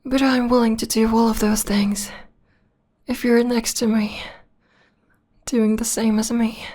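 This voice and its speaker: Breathy, slow female vampire lover, acted by a woman. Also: a little high, little pitch movement. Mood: devoted, menacing. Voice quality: breathy, often whispering. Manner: soft, slow, coaxing. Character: possessive, obsessed female vampire lover, seductive and doting. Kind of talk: ASMR roleplay, intimate and slow.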